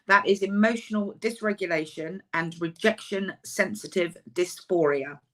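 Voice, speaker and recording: A woman, a counsellor and author, giving a chatty, emphatic webinar talk over a video call, her voice slightly husky. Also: on the low side, normal speed. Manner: chatty, self-deprecating, emphatic.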